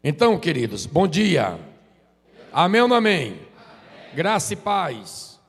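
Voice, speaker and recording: Man, 40s, high-pitched and playful in the pulpit, heavy hall reverb. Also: big pitch swings, unhurried, vocal fry, slightly rough. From Sergipe, Brazil. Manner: joking, chatty, drops "amém?" tags. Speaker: visiting preacher. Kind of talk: informal sermon with crowd call-and-response. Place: church sanctuary.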